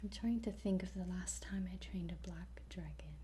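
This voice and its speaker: A woman speaking in a soft, breathy whisper as a guide in an ASMR roleplay, hesitant, with pauses between short phrases. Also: lowish voice, a little hiss or hum. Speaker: ASMR roleplayer playing a dragon training guide.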